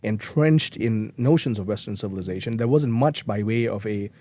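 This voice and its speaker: A man's voice: on the low side, moderate pace, mellow. A man from Malaysia, speaking earnestly as a guest in a podcast interview, with frequent restarts. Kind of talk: conversational interview.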